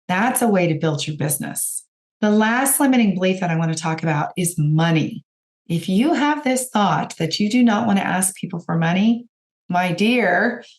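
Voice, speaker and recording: A woman with a raspy voice, hoarse from a cold, hosting a podcast and giving direct, encouraging coaching talk to her listeners. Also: mid-range voice, darkish tone. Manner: encouraging, direct, addresses listeners as you guys. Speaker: podcast host and business coach.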